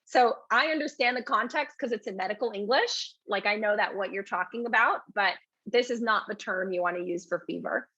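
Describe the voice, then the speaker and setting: A woman's voice: medium pitch, fairly quick, bright, forceful tone, husky, no room sound, muffled recording. A woman teaching a live online English lesson in a chatty way, reading out and answering questions from the chat.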